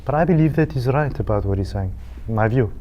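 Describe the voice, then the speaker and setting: A man's voice: medium pitch, expressive, fast, muffled recording. A man in his thirties from South Africa with a South African accent, chatting as a guest on a talk show and laughing often. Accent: South African English. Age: 30s. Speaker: TV channel CEO, guest. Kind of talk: casual chat.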